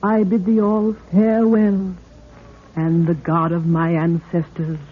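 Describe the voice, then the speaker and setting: High-voiced, stately monarch. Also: male voice, monotone, measured pace, slightly rough. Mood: solemn farewell. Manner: stately, archaic, formal. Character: gracious, solemn monarch of a lost people. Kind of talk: 1930s radio acting. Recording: tinny, noisy 1930s radio recording.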